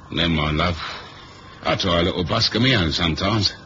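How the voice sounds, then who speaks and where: A noisy, dull old radio drama recording carries a very low male voice. It is a cool British secret agent, dry and plain-spoken. The delivery is colloquial and wry. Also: moderate pace, bright, forceful tone. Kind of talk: 1970s radio drama acting and first-person narration.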